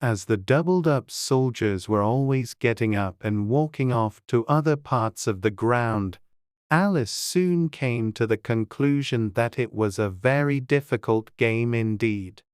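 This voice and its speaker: Audiobook narrator: mid-pitched, crisp and smooth, scripted storybook reading in a dry, close recording. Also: unhurried, darkish tone, no echo.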